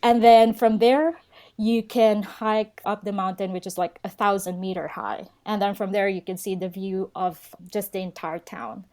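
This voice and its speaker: A woman's voice: medium pitch, fairly even intonation, normal speed, a little mellow. A young woman who speaks natively, chatting casually as a guest in an interview and often laughing. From Philippines. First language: Filipino. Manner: laughs often, friendly and chatty, mixes in Norwegian words. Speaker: guest, local travel expert.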